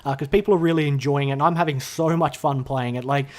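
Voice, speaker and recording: A man vlogging from his room, rambling casually to the camera with lots of fillers and self-corrections, his voice a little hoarse from being sick. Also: mid-range voice, fairly even intonation, quick pace. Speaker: gaming YouTuber. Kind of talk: unscripted casual vlog ramble. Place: his own room, indoors. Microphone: talking to a camera.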